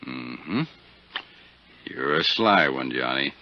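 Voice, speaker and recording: A man's voice: a bass voice, lively intonation, slow pace, gravelly, heavy background noise, heavily acted. An actor playing a shrewd, folksy small-town sheriff in a 1950s radio drama, with fatherly, rustic talk. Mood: dry, knowing. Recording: dull 1950s radio recording.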